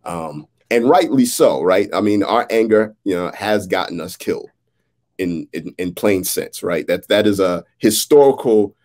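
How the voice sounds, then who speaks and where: An American man in his thirties in reflective conversation, speaking in a halting, thoughtful way as a playwright. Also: sits low, animated, a little mellow. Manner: halting, thoughtful, often checks in with "right?". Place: indoors, on a video call. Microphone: video call.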